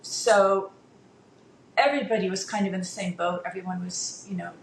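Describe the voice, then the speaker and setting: A woman's voice: medium pitch, fairly even intonation, moderate pace, vocal fry. A woman in her fifties whose first language is Dutch, a guest talking casually and hesitantly, with many fillers and restarts. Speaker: interview guest. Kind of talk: casual conversation. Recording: echoey room with faint background noise.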